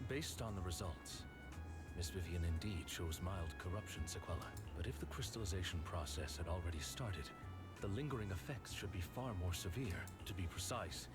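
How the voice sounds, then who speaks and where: An actor playing a composed, courteous aide to a mayor in a video game, speaking formally and in measured phrases. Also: male voice, deep voice. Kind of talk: scripted video game dialogue. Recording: music playing behind the voice. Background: game music.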